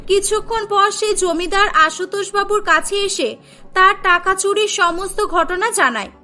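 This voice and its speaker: A woman's voice: very high-pitched, a little slow, sharp tone, soft background music. A woman narrating a scripted cartoon story.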